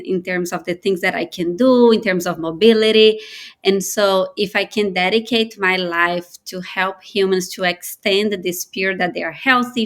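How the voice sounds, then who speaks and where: A woman in her thirties from Brazil, with a Brazilian accent, giving earnest, measured answers as a guest in an interview. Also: mid-range voice, fairly bright tone. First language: Portuguese. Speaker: guest, biotech founder.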